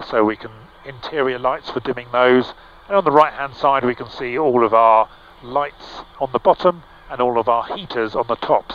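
Man explaining a cockpit over a headset mic. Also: medium pitch. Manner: relaxed, explanatory, with frequent fillers and restarts. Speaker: pilot and vlog host. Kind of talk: casual cockpit walkthrough. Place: small aircraft cockpit in flight. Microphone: headset boom mic. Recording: slightly dull, with faint engine hum.

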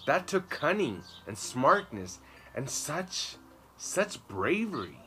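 Man reading as a gentle, encouraging schoolteacher, outdoors. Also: a little high, sing-song, takes it slowly, voice pushed up, exaggerated voice. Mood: reassuring, determined. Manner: gentle, earnest, emphatic. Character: kind, encouraging male schoolteacher comforting a child. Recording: clear background noise, outdoor birdsong.

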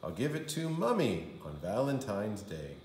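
A man's voice: on the low side, sing-song, moderate pace, a little mellow. A man reading a children's storybook aloud like a kindergarten teacher, with theatrical, sing-song delivery. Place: indoor room. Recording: roomy indoor echo.

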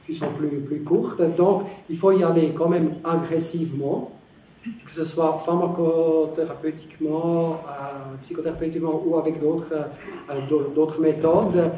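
A middle-aged man, a professor answering audience questions in an academic, halting way with many pauses, in a large lecture hall. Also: fairly high pitch, fairly even intonation, mellow. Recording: echoey hall, distant, some noise.

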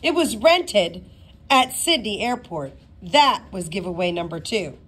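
A woman's voice: medium pitch, lively intonation, measured pace, a bit bright, slightly rough. A woman voicing a cheeky, self-assured schoolgirl in a storybook, with dry, teasing emphasis. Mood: smug teasing.

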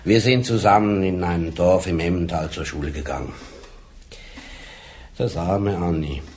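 A man plays a gruff older police sergeant. He speaks bluntly, with a dry wit, in a fairly low voice, in the style of a 1980s radio drama. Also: normal speed, a little mellow, slight background noise, lightly acted.

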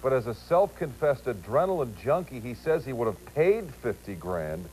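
A man's voice: medium pitch, sing-song, moderate pace, darkish tone, low-quality recording. An American man anchoring TV news, reading scripted copy with some light, chatty reaction.

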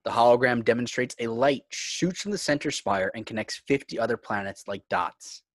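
A man's voice: medium pitch, normal speed, no room sound. A man narrating screenplay scene headings and action in a scripted read. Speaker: narrator reading stage directions. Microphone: video call.

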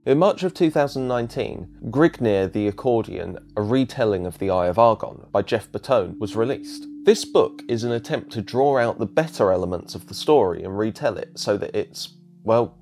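A man's voice: lowish voice, lively intonation, moderate pace, a little mellow, slight background noise. A man narrating a scripted video essay as its presenter.

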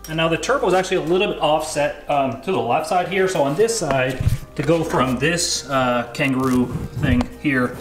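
A man's voice: medium pitch, fairly bright tone. A Canadian man in his thirties talking in a jokey, slangy way as he hosts a casual workshop vlog from a garage. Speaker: vlog host and fabricator.

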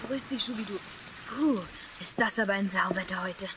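A woman's voice: mid-range voice, normal speed, noisy background, close to an everyday voice. A woman voicing a friendly young boy in a children's radio play. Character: young boy Simon, friendly visitor of the ghost. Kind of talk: children's audio drama acting.

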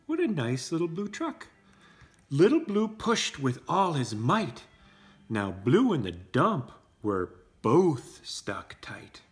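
A man's voice: fairly high pitch, huge pitch swings, takes it slowly. A man in his thirties reading a children's storybook aloud as a parent, expressively, with simple casual asides. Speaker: parent reading to preschool class. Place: indoor room with brick wall. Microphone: camera a short distance away.

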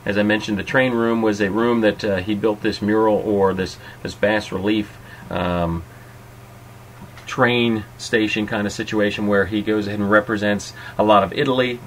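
A man's voice: lowish voice, steady tone, normal speed, a bit bright, a little hiss or hum. A middle-aged man hosting and narrating an informal guided tour. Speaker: host and narrator of a restaurant tour.

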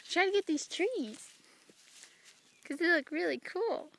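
A woman's voice: very high voice, expressive, takes it slowly, vocal fry, a bit bright. A young woman teasing her friend while she films outdoors on a phone held at arm's length. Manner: giggly, teasing. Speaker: vlogger filming. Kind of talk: casual chat. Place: outdoors by a pond in the countryside.

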